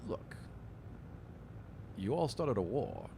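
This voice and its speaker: A man's voice: medium pitch, very animated, slow pace, creaky, warm tone, slight background noise, exaggerated voice. A man playing a fussy, watchful inquisitor in a tabletop game, speaking in a hesitant, officious way. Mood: officious, wary. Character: fussy bureaucratic male inquisitor, watchful, matter-of-fact.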